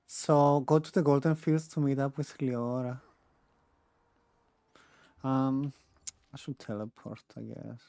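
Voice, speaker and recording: Muttering, creaky-voiced gamer. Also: mid-range voice, level tone, takes it slowly, long pauses, mellow, no echo. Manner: muttering to self, indecisive. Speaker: game streamer. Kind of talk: casual gameplay commentary.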